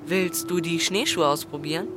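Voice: medium pitch, normal speed, background noise, natural voice. A performer playing a cocky, enigmatic thirteen-year-old newcomer who talks in blunt, provoking bursts for a German radio drama. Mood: cool, probing. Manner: blunt, provoking questions and retorts.

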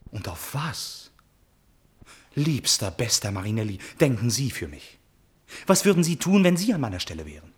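Radio drama prince: imperious, lovesick, theatrical, husky edge, very animated intonation. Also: male voice, medium pitch, normal speed. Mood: pleading, urgent. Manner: theatrical, emphatic, swinging from outburst to brooding. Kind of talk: classical German stage drama, radio play acting.

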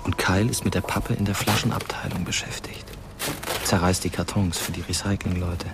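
A brooding ghost narrator in a radio drama, with a deep, creaky voice. He speaks in a measured, intimate way over very prominent music. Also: level tone. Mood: watchful. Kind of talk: scripted radio play narration.

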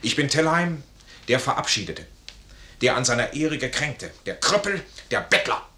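Tense, roomy-voiced proud wounded officer. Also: male voice, medium pitch, very animated, moderate pace, sharp tone. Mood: bitter, proud. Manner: formal, anguished, declamatory. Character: proud, wounded, discharged army officer, honourable and tormented. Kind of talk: 1950s German radio play acting. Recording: roomy old 1950s radio studio recording.

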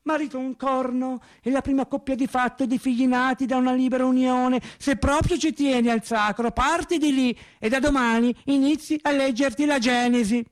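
A man's voice: very high voice, steady tone, moderate pace, hardly any pauses, voice pushed up. A man voicing a bossy, bookish working-class wife who scolds with insistent, challenging questions in a radio theatre monologue. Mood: corrective.

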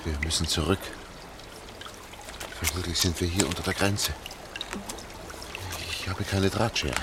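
Deep-voiced, sober fugitive guide. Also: male voice, fairly even intonation. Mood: tense, controlled. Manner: hushed, measured, deliberate. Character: sober, methodical older man guiding a girl through sewers. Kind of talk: German radio play acting. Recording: noisy track with trickling water. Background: running, dripping water.

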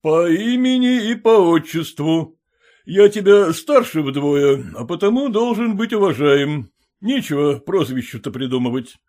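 A smug older man lectures from his armchair, pompous and sure of his due, as a male narrator puts him on. His storybook voice rises and falls with a lively swing. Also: a little high, measured pace, pitched above the performer's own voice. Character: older man, haughty hanger-on, lounging.

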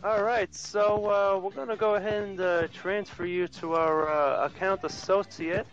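A man's voice: high-pitched, steady tone, normal speed. A man acting as a radio host, casually giving polite, hesitant instructions over a phone call. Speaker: prank caller posing as radio station host. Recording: muffled lo-fi phone call with music.

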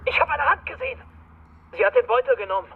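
An actor playing a keyed-up police surveillance officer who reports in urgent, clipped phrases in a radio crime drama. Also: male voice, very high-pitched, huge pitch swings, quick pace, narrow, tinny audio, a little hiss or hum, full character voice. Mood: tense excitement.

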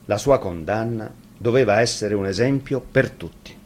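Low-voiced man reading a fairy tale. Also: normal speed, a little hiss or hum.

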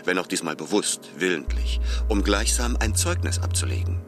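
Super deep male narrator, philosophical radio play, explaining thoughtfully, music under it. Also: very animated, moderate pace. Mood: reflective. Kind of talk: literary radio drama narration.

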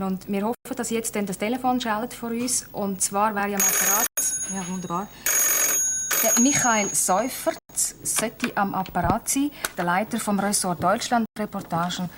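A woman's voice: medium pitch, moderate pace, noisy background. A woman hosting a radio show, questioning a caller in a probing, persistent way. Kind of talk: radio interview.

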